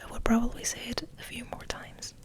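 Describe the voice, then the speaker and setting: A woman giving a soft, breathy, whispered ASMR ramble as host, in a gentle, unhurried, musing way.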